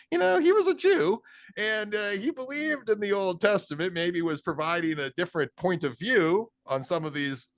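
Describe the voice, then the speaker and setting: A man's voice: medium pitch, fairly bright tone, dry recording. A male podcast host who talks in a rambling way, full of fillers. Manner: rambling, filler-heavy musing. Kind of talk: conversational interview.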